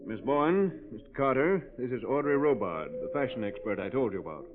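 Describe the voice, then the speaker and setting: An actor playing a proper, guarded department store manager in a 1940s radio drama, speaking formally with slight hesitation. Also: male voice, mid-range voice, lively intonation, brisk, lightly acted. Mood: dry, formal. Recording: tinny, band-limited old radio recording.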